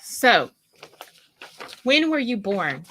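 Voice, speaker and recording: A woman, co-hosting a casual live chat in an animated, chatty way. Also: on the high side, extremely slow, slight background noise. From West Texas. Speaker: co-host.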